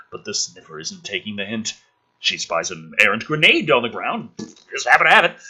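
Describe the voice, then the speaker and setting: A man reading a film script dramatically and breaking off for sarcastic, profane commentary, with a rough-edged voice. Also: mid-range voice, pushed and bright, poor audio.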